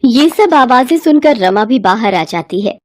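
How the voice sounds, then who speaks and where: A woman's voice: fairly high pitch, expressive, measured pace, no echo, clean studio sound. A woman narrating a scripted moral bedtime story as its storyteller.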